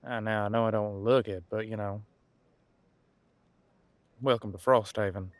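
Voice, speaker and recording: A man playing a centuries-old, easygoing detective in an audio roleplay, talking casually and folksily as he muses aloud with pauses. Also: on the low side, steady tone, dry recording, natural voice. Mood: wry, amused. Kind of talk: detective roleplay audio, one side of a conversation.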